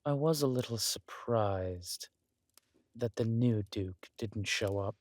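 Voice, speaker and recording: Villain husband , male actor: scheming noble usurper, slow aristocratic delivery, breathy asides, dry intimate roleplay sound. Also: fairly low pitch, darkish tone, no room sound. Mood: smug, musing. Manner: suave, aristocratic, deliberate, with breathy near-whispered endearments. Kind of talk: intimate roleplay audio drama acting.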